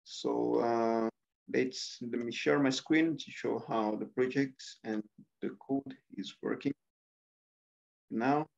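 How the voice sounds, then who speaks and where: A young man talks through his coding project on a video call. He speaks very slowly, pausing on filler sounds, and his voice is strongly gravelly. The audio is a little dull and lo-fi. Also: mid-range voice, steady tone. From Rwanda. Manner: hesitant, many filler sounds. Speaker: student presenting a coding project. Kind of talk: screen-share software demo.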